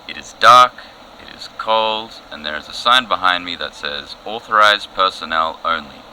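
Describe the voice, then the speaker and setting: A low-voiced field reporter for a comedy news short, with faint noise behind him. He is deadpan and dogged, with a very sharp edge and a weary, exasperated emphasis. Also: heavily acted, poor audio. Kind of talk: mock live news cross, scripted audio comedy.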